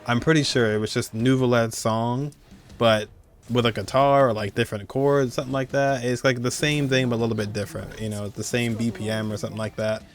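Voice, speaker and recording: A man's voice: mid-range voice, fairly even intonation, a little fast, soft background music. A man giving casual, slangy, conversational commentary as host of a video game countdown. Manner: slangy, conversational, chuckling asides.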